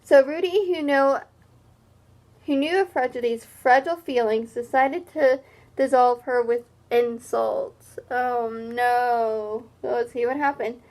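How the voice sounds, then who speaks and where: A woman reading a children's story aloud like a teacher, expressive, with simple explanatory asides. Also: a little high, slow.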